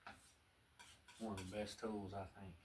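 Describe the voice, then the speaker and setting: A man with a strong Southern US accent, chatting in a folksy, unhurried way as he teaches painting, murmuring asides to himself. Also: lowish voice, flat delivery. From American South. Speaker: painting instructor. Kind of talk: relaxed painting tutorial with casual chat. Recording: distant mic with clear background noise.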